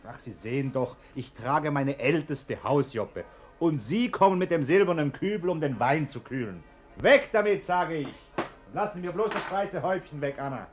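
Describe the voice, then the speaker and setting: An actor playing a fussy, nervous well-off householder who gives bossy orders in a German radio play. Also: male voice, fairly high pitch, expressive, normal speed, faint music behind, lightly acted. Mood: fussy, agitated.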